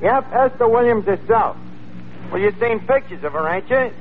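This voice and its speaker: An actor playing a brash, slangy, word-mangling tavern manager with a New York accent in 1940s radio comedy. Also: male voice, very high-pitched, lively intonation, moderate pace. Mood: breezy, bragging. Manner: slangy, mangles words and grammar. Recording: tinny, noisy old radio recording.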